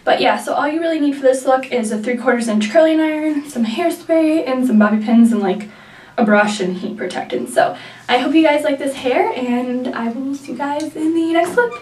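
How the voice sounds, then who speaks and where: High-voiced young woman, stuffy nasal, rambling hair vlog, echoey room, mic kinda far. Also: moderate pace, a little mellow. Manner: chatty, rambling, lots of likes and ums. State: nasal from a sinus infection. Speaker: beauty vlogger. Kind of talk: casual hair tutorial.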